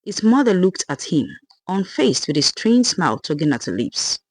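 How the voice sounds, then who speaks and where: A storyteller giving a dramatic, expressive reading of a scripted folktale. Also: medium pitch, moderate pace, husky, dry recording.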